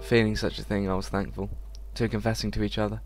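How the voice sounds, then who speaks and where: A man hosting a let's play, reading the game's script aloud haltingly with occasional asides. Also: fairly low pitch, level tone. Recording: game music under the voice. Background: music.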